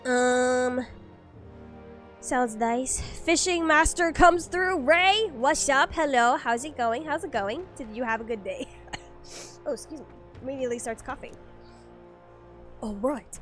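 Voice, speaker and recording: Woman streamer rambling to chat, kinda high voice, a bit stuffy, soft game music behind. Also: a little slow, long pauses. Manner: rambling, lots of fillers and restarts, chatty with viewers. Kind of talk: casual gameplay stream chat. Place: at home.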